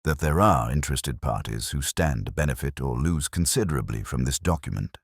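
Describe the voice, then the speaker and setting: An incisive, commanding Victorian detective, played by a male audiobook reader. He questions formally and with measured care, in an extremely deep voice with lively rises and falls, recorded dry. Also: breathy edge, dry recording, exaggerated voice. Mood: musing.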